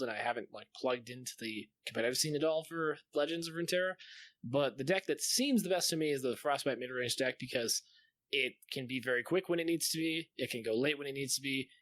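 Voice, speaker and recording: A man's voice: mid-range voice, fairly bright tone, no echo. Guy chatting on a gaming podcast, into it, swears a bit, medium voice, super dry audio. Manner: casual, enthusiastic, swears. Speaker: podcast co-host. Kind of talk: casual gaming chat.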